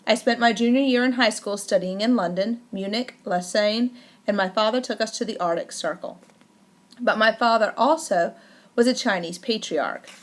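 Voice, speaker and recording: Woman calmly narrating a memoir. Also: fairly high pitch. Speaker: audiobook narrator. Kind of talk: first-person memoir audiobook reading.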